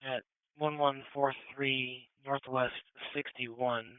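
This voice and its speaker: A man's voice: medium pitch, little pitch movement, takes it slowly, clean tone, no room sound. A man, a police officer, speaking in clipped messages over a two-way radio. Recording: tinny narrowband police radio scanner audio.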